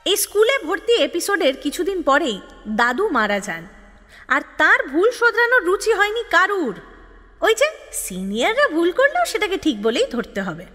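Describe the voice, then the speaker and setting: An actress playing a chatty, exasperated young woman who narrates her love life in an audio drama, animated and playfully complaining. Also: very high voice, normal speed, exaggerated voice. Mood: chatty, wry. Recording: long reverb, music behind.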